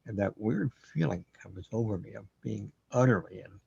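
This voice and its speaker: Guy doing a confused paranoid computer-chip man, low mellow husky voice, broody sci-fi audio drama. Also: expressive, normal speed, slight background noise. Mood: brooding. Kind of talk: audio drama monologue and dialogue. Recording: dull, lo-fi, dry close recording.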